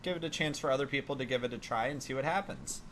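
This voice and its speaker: A man's voice: medium pitch, fast talker, fairly bright tone. A man hosting a gaming podcast in casual, conversational chat. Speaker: podcast host. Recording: clearly noisy amateur podcast recording.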